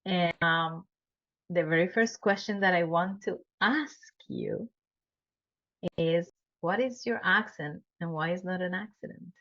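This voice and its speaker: A woman hosting a podcast, introducing her guest and asking her first question deliberately. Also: lowish voice, a bit bright, lo-fi sound. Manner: deliberate, reading a bio. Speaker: podcast host.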